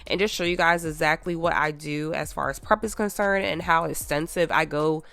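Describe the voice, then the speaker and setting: A woman's voice: sits low, fairly even intonation, moderate pace, vocal fry, a bit bright. A nail technician woman narrating a chatty, detailed tutorial voiceover while stuffy with a cold. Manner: chatty, detailed, with many ums.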